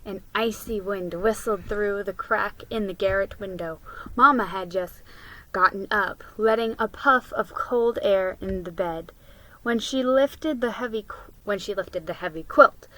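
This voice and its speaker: A woman doing a dramatic reading of a children's book, in a deliberate way. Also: medium pitch, fairly bright tone, low-quality recording. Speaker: vlogger reading books aloud.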